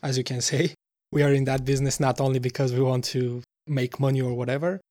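A man's voice: medium pitch, flat delivery, fast, mellow. A man, a startup co-founder answering interview questions earnestly, often hunting for words. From Bulgaria. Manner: earnest, searching for words, many restarts.

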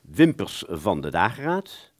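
An actor plays a male bookshop salesman in a radio drama. He is polite, fussy and a touch patronizing, explaining things pedantically. His voice is on the low side and very animated in pitch. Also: a little slow. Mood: obliging. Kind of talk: radio play dialogue.

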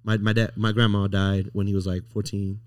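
A young American man with a fairly low voice, chatting casually as a podcast host. His speech is informal and slangy, and the sound is close and dry. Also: little pitch movement, fairly quick, smooth, no echo. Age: young adult. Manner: informal, slangy talk peppered with "bro" and "like". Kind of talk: casual conversation.

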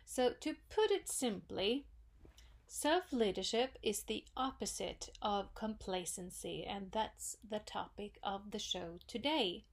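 A woman with a Norwegian accent hosting her own podcast, talking in a thoughtful, unhurried way with many pauses and fillers. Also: mid-range voice. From Norway. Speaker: podcast host. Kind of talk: solo reflective podcast talk.

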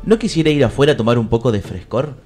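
An actor with an Argentine accent playing a crude, lovestruck fairy-tale prince in a comic radio play, mock-courtly and slipping into street slang. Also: male voice, medium pitch, animated, fast talker, warm tone, soft background music, natural voice. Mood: courting. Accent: Argentine, Rioplatense. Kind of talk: comic radio theatre parody.

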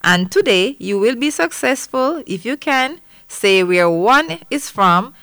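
A woman reads the aims of a classroom lesson at a slow pace. Her voice sits mid-range and the recording is dry. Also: on the high side, lively intonation, a bit bright, clear, resonant tone, dry recording.

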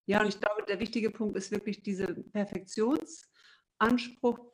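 A woman's voice: medium pitch, steady tone, measured pace, low-quality recording. Woman interviewer asking probing questions. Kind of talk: casual interview chat.

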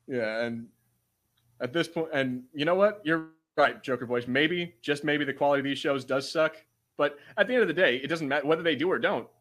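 A man's voice: on the high side, a little fast, fairly bright tone. A man hosting a podcast with casual banter, talking in an informal, slangy way with some swearing. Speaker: podcast host.

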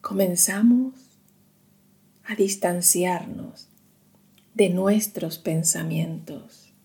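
A woman guiding a meditation in a soothing, hushed manner, leaving long pauses between short phrases. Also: fairly low pitch. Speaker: meditation guide. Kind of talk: slow guided meditation narration.

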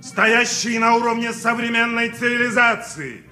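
A pompous, indignant public orator in an old radio play. The voice is high and extremely sharp, declaiming with an echo around it. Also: male voice, level tone, moderate pace, soft background music, full character voice. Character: pompous, indignant civic orator railing against backwardness.

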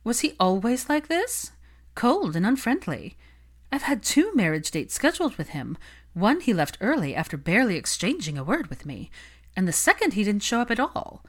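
A woman voicing a young, smug society gossip and husband-hunter in an audiobook, with an affected, bright, confiding manner. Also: mid-range voice, sing-song, normal speed, voice pushed up. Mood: curious, confiding.

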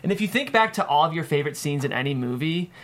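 A man's voice: on the high side, lively intonation, fast, a bit bright. A man being interviewed as a screenwriter, explaining things thoughtfully in casual talk peppered with "like".

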